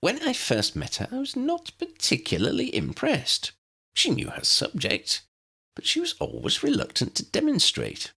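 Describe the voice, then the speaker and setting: A man's voice: very high voice, huge pitch swings, moderate pace, gravelly, pushed and bright, slightly rough, pitched above the performer's own voice, full character voice. A man voicing a small, courteous male professor in an audiobook, exclamatory and easily astonished. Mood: puzzled, earnest. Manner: exclamatory, proper, swooping.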